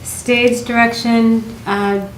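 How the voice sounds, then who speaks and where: A woman's voice: a little high, level tone, slow pace. Roomy-sounding woman hosting a talk. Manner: modest, moderating, gently steering talk. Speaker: host of a writers' group show. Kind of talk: casual group discussion. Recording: roomy echo with faint noise.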